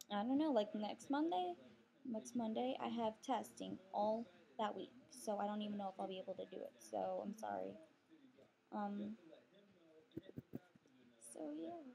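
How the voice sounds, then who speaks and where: A woman's voice: on the high side, gravelly, dark tone, rough recording. A teenage girl with a Texan accent, rambling and chatty with lots of fillers, talking to her webcam from a couch at home. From Texas. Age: teens. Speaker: vlogger. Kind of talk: casual webcam vlog. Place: living room couch indoors. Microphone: webcam on computer.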